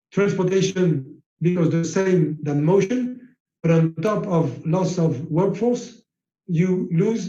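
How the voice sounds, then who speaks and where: A man whose first language is French gives a slide lecture on manufacturing, speaking haltingly with many fillers. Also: on the high side, mellow, roomy sound, lo-fi sound.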